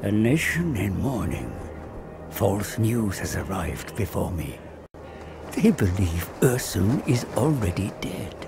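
Old scheming scholar, grave game-cinematic delivery, slow with dramatic swings over music. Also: male voice, on the low side, sing-song, vocal fry, heavily acted. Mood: brooding, scheming. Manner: grave, theatrical, deliberate. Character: weary, scheming old scholar cursed to serve a tome. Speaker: narrator and advisor character.

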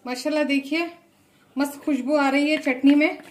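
Woman in her thirties, high voice held in a narrow band, home kitchen with faint room noise behind. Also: fairly even intonation, moderate pace. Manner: chatty, repeats "look" and blessings often. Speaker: cooking channel host. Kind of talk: home cooking tutorial.

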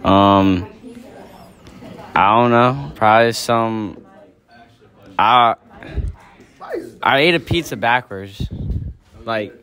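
Teenage male interviewee, low voice, extremely slow and halting. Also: a bit bright. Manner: hesitant, halting. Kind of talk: casual chat. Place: school classroom.

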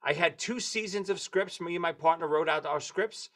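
A man in his forties with a New York accent, telling lively stories as a guest on a video-call interview. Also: fairly high pitch, moderate pace. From Brooklyn, New York. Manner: lively, animated storyteller. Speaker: guest drummer and author. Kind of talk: casual interview chat. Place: home room with lights and posters.

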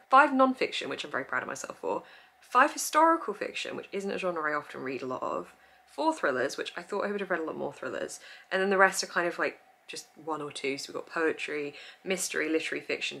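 A young woman talking to camera in a room lined with bookshelves, upbeat and chatty with frequent laughs. Her voice sits fairly low for a woman but comes out bright and forceful, with a gravelly fry at the ends of phrases. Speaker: book vlogger. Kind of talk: casual chat to camera. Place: home room with full bookshelves.

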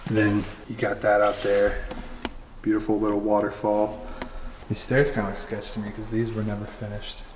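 A man's voice: fairly low pitch, moderate pace, background noise. Young man exploring an echoing empty mansion. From Oklahoma. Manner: excited, slangy, laughs often. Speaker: urban explorer vlogger. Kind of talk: casual walkthrough narration. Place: large empty unfinished house, echoing rooms. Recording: very echoey empty rooms.

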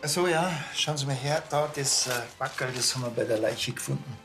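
A man's voice: medium pitch, a little fast, music in the background, lightly acted. An actor playing a dry, grumbling small-town policeman with a Bavarian accent in a TV crime comedy. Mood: businesslike. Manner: deadpan.

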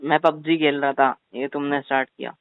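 A man's voice: a little high, level tone, brisk, smooth, natural voice. A man acts as a young boyfriend in a phone-call drama, possessive and insistent, yet placating. The sound is narrow like a telephone, with no room echo. He speaks in an everyday conversational way. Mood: bantering. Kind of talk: phone-call couple roleplay, conversational Hindi-English. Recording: thin, phone-like call audio.

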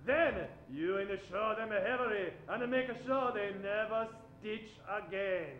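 Pompous sleuth general, played by a man for vintage radio comedy; very high, unhurried, theatrical. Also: lo-fi sound, faint music behind. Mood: smug. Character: pompous detective general solving a murder mystery. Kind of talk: old-time radio comedy acting. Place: small theater stage. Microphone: shared vintage-style stand microphone. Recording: roomy live theater stage.

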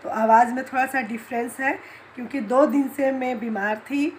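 A woman in her thirties from Jharkhand, India, her voice hoarse from a cold, chatting homely and direct to viewers as she vlogs at home and outdoors on a phone held at arm's length. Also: high-pitched, steady tone, moderate pace, a little mellow, a little hiss or hum. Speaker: vlogger. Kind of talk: casual vlog to camera. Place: home and nearby street, indoors and out.

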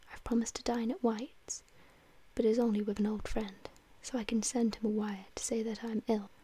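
Languid, cynical storybook aristocrat played by a woman, whispered, dreamy, evenly pitched. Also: dark tone, slight background noise, natural voice. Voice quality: breathy whisper. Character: languid, witty, cynical upper-class gentleman. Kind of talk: whispered audiobook dialogue.

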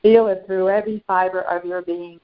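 A woman channeling a serene, benevolent spiritual master, guiding a meditation in slow, short phrases with long pauses. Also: on the low side, fairly even intonation, close to an everyday voice. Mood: serene, reverent. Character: serene, benevolent ascended master St. Germain addressing followers. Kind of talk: guided meditation. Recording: dull lo-fi sound.

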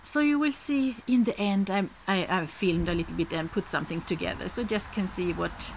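A woman's voice: mid-range voice, normal speed, noisy background. A middle-aged woman from Sweden with a Swedish accent chats casually to camera as a podcast host, often searching for words and rephrasing.

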